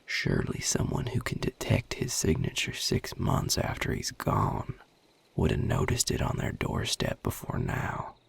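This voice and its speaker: A man voicing a guarded, gruff vampire with a Southern US accent in an audio roleplay, husky and breathy, drawling with sardonic, curt authority. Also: measured pace, lightly acted. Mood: suspicious. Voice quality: gravelly, husky, breathy low voice. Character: guarded, gruff Southern male vampire defending his territory. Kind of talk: audio roleplay addressed to the listener.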